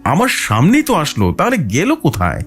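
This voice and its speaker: A man voicing an eager young village fisherman in a horror story, exclaiming in a hurried manner. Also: a little high, wildly swooping pitch, soft background music, pitched above the performer's own voice. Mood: calling, puzzled. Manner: hurried, exclaiming, repeating words.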